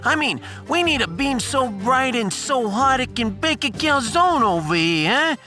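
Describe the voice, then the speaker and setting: A man's voice: extremely high pitch, expressive, measured pace, sharp tone, faint music behind, heavily acted. A man voicing a brash starship captain with a punchy, colloquial, commanding manner in a sci-fi audiodrama. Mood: urgent. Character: brash, fast-deciding starship captain rallying the crew.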